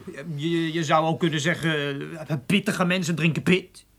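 An eager young office junior in a radio play. His voice is high for a man, and he talks in a cheeky, chatty way, stumbling into small hesitations. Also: steady tone, moderate pace, fairly bright tone. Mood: hesitant. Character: cheeky eager young male office errand boy named Jeroen. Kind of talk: radio drama acting.

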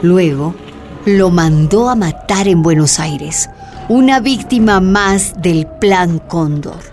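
A woman's voice: on the low side, darkish tone. A woman reading scripted narration for a historical radio drama.

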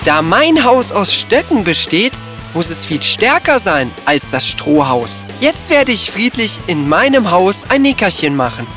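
Eager middle piglet brother played by a woman, carefree and cheeky cartoon storybook voice, very lively intonation. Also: medium pitch, a little slow, music in the background. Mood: eager, self-satisfied. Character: eager, naive young male pig, carefree middle brother. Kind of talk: children's cartoon fairy-tale dialogue.

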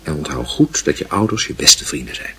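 A man's voice: a bass voice, animated, fairly quick, fairly bright tone, slight background noise. A man playing a stern, moralizing father who admonishes and questions his young son in a radio play. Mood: stern, admonishing.

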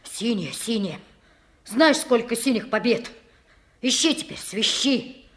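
An actress playing a bold, indignant schoolboy of about twelve in an old Soviet radio play, speaking in emphatic exclamations. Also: female voice, fairly high pitch, animated, slow pace. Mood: urgent, frustrated. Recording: old radio drama with music.

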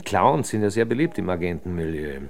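An actor playing a pedantic, ironic criminal investigator in a German radio drama, lecturing with smug pomposity. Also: male voice, low-pitched, big pitch swings, fairly quick, creaky, darkish tone, exaggerated voice. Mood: smug, explaining. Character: wry, pedantic male criminal investigator, smugly theorizing.

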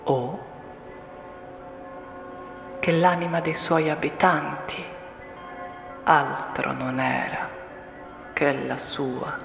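Slow, echoing theatrical woman's monologue. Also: low-pitched, steady tone, dull audio. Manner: solemn, deliberate, often dropping into breathy whispers. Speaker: stage actress performing a monologue. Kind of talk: slow dramatic theatrical monologue with literary text. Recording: echoey, long reverb, music underneath. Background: music and soundscape.

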